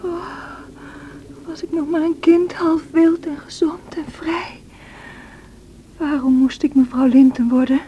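An actress playing a feverish, wild and distraught young married woman in a radio drama, with a breathy, anguished delivery. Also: high voice, fairly even intonation, a little slow, long pauses, mellow, muffled recording. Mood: desperate, longing. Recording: noisy old radio play recording.

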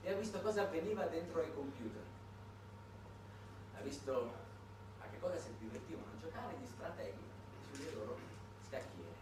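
A man's voice: a little high, expressive, moderate pace, pauses often. A man performing a theatrical satirical monologue, with dramatic swings in delivery, as a storyteller on his own. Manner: dramatic delivery swinging between hushed and shouted. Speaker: stage monologist, writer-performer. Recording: echoey, noisy recording from a distance.

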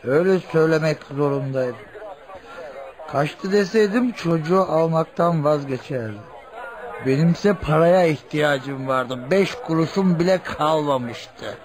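A shifty drunkard played by a man in a radio play, pitched fairly high. He is defensive and evasive, stammering when cornered. A faint crowd sits behind the voice. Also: unhurried, lightly acted, low-quality recording. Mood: defiant, bitter. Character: shifty defensive drunkard husband accused of selling his child. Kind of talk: Turkish radio theatre acting.

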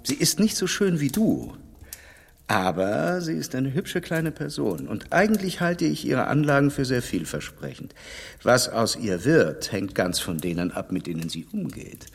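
An actor playing an outspoken gentleman in a radio play, talking frankly and with reproach. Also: medium pitch, unhurried, vocal fry, breathy edge, long reverb tail, exaggerated voice. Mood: warm teasing. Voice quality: frank, lively, reproving manner. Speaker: Mister Knightley.

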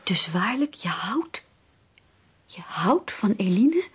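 An actress in a Dutch radio drama playing an earnest, anxious young sister who pleads haltingly and emphatically. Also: female voice, medium pitch, sing-song, husky, exaggerated voice. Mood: probing. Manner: halting, emphatic, repeats words for stress.

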